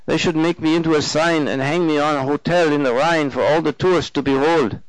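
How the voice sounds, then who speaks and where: A man acts out a gawky young German man in an audiobook story. He is nervous and eager, speaking formal, slightly stilted English with earnest enthusiasm. His pitch sits raised and the sound is close and dry. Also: fairly even intonation, brisk, no echo, natural voice, rough recording. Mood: eager, effusive. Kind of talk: audiobook character dialogue.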